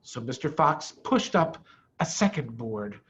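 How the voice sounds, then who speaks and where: A middle-aged man reading a children's story aloud expressively, with deliberate dramatic emphasis. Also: medium pitch, very animated, fairly bright tone. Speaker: storybook reader, head of school. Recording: dull, muffled lo-fi audio.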